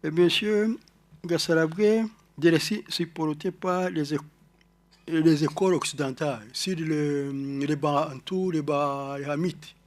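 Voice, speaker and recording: A man from Rwanda with a Rwandan French accent, speaking to an audience in a halting, explanatory way, often repeating words and restarting. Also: on the high side. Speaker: conference speaker. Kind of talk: lecture-style talk to an audience.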